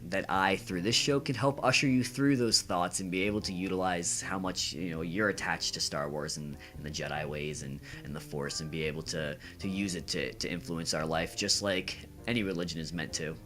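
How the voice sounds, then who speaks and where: A young man answering casually as a podcast guest, earnest and halting, repeating words. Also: sits low, steady tone, a bit bright, soft background music. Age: young adult.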